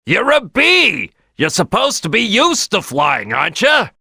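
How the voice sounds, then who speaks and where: An actor voicing a brash, gung-ho male crocodile detective boss in a gruff, raspy video game voice. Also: high voice, wildly swooping pitch, a little slow, sharp tone, no room sound, clean studio sound, full character voice. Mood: fired up.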